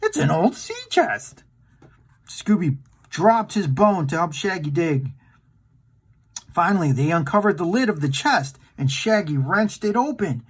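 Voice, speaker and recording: A man reading a children's storybook aloud in an animated storytime style. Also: on the high side, measured pace, husky.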